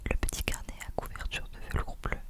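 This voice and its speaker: A woman reading a novel aloud in a soft, whispered ASMR style. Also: fairly quick. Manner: soft unvoiced whispering throughout. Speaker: ASMR reader.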